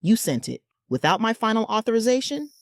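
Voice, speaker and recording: A woman's voice: on the high side, sing-song, brisk. A woman playing a stern, cautious director in a sci-fi audio drama, speaking with commanding authority. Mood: indignant.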